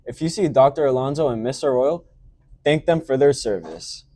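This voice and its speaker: A young man anchoring a student news show, reading his lines at a steady announcing pace. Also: mid-range voice, moderate pace.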